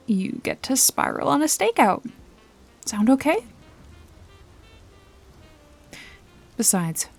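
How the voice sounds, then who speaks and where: Noir ASMR detective, female performer: sly, bossy woman, soft breathy delivery at a slow pace, dry teasing wit. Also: medium pitch. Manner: wry, teasing, coaxing, breathy asides. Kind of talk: noir mystery ASMR roleplay, scripted, addressed to listener.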